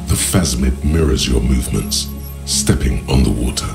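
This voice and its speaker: A man voicing the brooding inner narration of a detective game, gravelly, deliberate and poetic. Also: rumbling low. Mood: measured, mesmerized. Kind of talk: scripted second-person game narration. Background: music.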